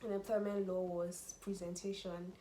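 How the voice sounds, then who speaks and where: A woman's voice: on the low side, normal speed, a crowd in the background. Nigerian woman chatting in an echoey room. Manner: animated, laughing often, drops into Nigerian Pidgin. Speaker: vlogger, international student. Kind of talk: casual chat. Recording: echoey room with faint ambience.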